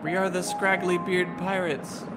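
A man's voice: high voice, flat delivery, a little slow, loud music behind the voice. A man teasing his co-hosts and mocking an old film while watching it with them. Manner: teasing, laughs often. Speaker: movie riffing commentator. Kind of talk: joking commentary over a film.

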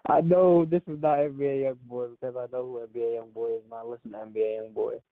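A man's voice: mid-range voice, fairly even intonation, quick pace, dry recording. An American man talking casually and in slang over a phone line, as a videographer discussing a job. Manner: slangy, informal. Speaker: music video videographer on a call. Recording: tinny narrowband phone line.

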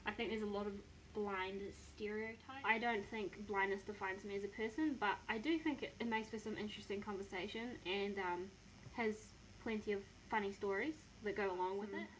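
A woman's voice: mid-range voice, fairly quick, a little hiss or hum, low-quality recording. A woman in her twenties with a New Zealand accent, chatting casually to a phone camera in her bedroom, self-mocking and upbeat. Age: 20s. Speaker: vlogger. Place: small bedroom, indoors. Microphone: phone camera close to the face.

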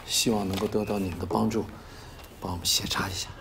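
An actor playing a middle-aged male prosecutor in a film, formal, grave and measured. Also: low voice, fairly even intonation, gravelly, fairly bright tone, slight background noise. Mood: formal, serious.

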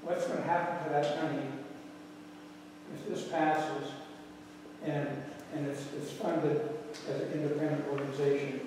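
Flat-voiced man questioning from the audience. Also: fairly high pitch, unhurried, long pauses. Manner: probing, presses for clarification. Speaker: resident asking questions. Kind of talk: questions at a public meeting. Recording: echoey hall, distant mic, background noise.

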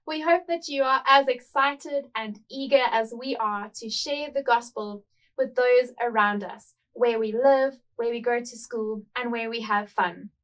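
A woman's voice: a little high, unhurried, fairly bright tone, distant mic. A young woman presenting a kids' program in a scripted, upbeat way with a handheld mic.

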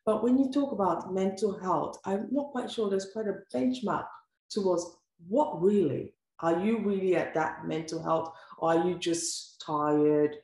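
A woman's voice: low voice, mellow. A woman hosting an interview show, talking effusively and asking rhetorical questions. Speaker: host. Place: room with sofa, indoors. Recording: echoey room with faint music.

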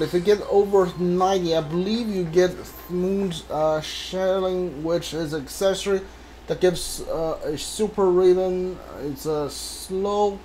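A man giving casual video game commentary, halting and mumbling as he reads text from the screen. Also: high-pitched, darkish tone, a little hiss or hum, poor audio. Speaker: gaming streamer. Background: video game music.

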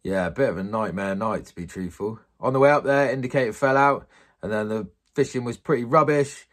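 A man's voice: medium pitch, brisk, dry recording. Chatty man vlogging a night fishing trip. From England. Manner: relaxed, chatty, lots of fillers. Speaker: angling vlogger. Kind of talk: casual fishing vlog commentary. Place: night beach outdoors, then indoor room at home.

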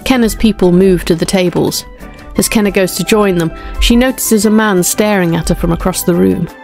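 A woman's voice: medium pitch, moderate pace, gravelly, darkish tone. A woman narrating a scripted story. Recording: music behind the voice.

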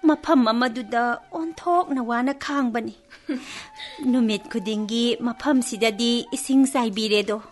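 A woman playing a cheerful, eager talking flower plant in a radio play, animated and giggly. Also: fairly high pitch, racing, close to an everyday voice. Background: faint music.

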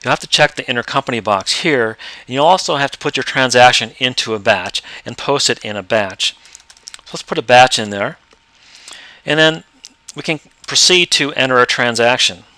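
A man explaining step by step how to use accounting software in a screen-recorded tutorial. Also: medium pitch, animated, normal speed, no room sound. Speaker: software trainer.

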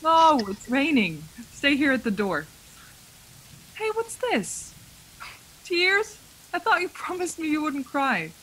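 A woman's voice: high voice, very animated, slow, pauses often, a bit bright, a little hiss or hum, exaggerated voice, poor audio. An actress playing a frightened young traveler who insists she is sane, in a suspense radio play, hesitating and repeating words. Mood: reassuring.

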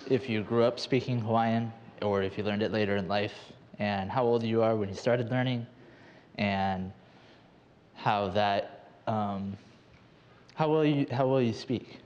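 A man's voice: lowish voice, measured pace, darkish tone, lo-fi sound. A man asking a question from the floor of a conference hall, tentative and restarting his phrases. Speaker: audience member asking a question. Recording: reverberant hall, faint room noise.

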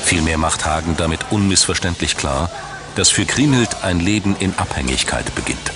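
Male documentary narrator, very deep, measured and dramatic, music bed underneath. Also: lively intonation. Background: background music.

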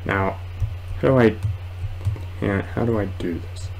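A young man giving casual, excitable commentary while playing a horror game, muttering and exclaiming to himself. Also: on the low side, unhurried, creaky, soft background music, rough recording. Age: young adult. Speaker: gamer recording a let's play. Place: small indoor room at home.

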